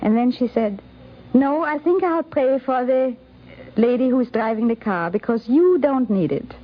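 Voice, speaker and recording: A woman's voice: on the high side, expressive, a little slow. Woman reminiscing on dull vintage radio. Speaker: interviewed photographer recounting memories. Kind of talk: anecdotal reminiscence. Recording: dull 1950s radio recording.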